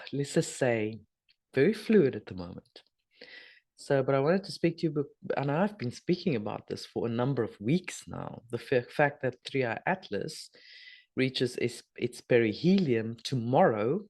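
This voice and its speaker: A middle-aged woman, hoarse and husky from a sore throat, chatting casually as host of a spiritual video update with rambling, trailing asides. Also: very deep voice, darkish tone. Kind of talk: casual spoken energy update.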